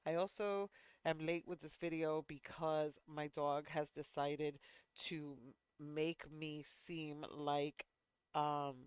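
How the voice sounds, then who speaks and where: A woman's voice: deep voice, little pitch movement, slow, smooth, no room sound. A woman hosting a let's play, chatting casually and unhurriedly with fillers, a bit sniffly. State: sniffly, slightly congested. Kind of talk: casual gameplay commentary.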